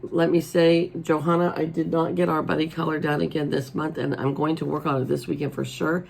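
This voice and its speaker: A raspy-voiced middle-aged woman hosting a casual show-and-tell, chatty and homey, filmed at her table at home. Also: deep voice, little pitch movement, a little fast, a little mellow, slight background noise, poor audio. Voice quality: raspy today. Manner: chatty and homey, many ums. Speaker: coloring channel host. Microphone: overhead camera over the table.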